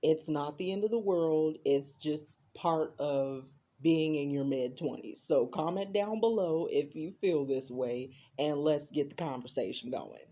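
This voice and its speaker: A woman's voice: low-pitched, a little slow, hardly any pauses, mellow. A woman, chatting to her viewers in a reflective, repetitive way. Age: 20s. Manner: reflective, chatty, repeats herself. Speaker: vlogger. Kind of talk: casual talk to camera.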